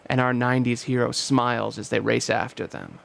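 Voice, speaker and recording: A man's voice: brisk, vocal fry. A man reading out a screenplay as narrator, in a dramatic scripted style, at a table read. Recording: loud ambient rumble behind voice.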